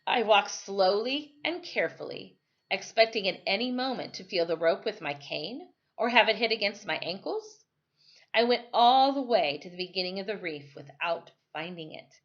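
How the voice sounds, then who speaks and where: A woman reading a novel aloud as a storyteller, with careful, dramatic phrasing and expressive narration. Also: medium pitch, moderate pace. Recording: dull, lo-fi sound.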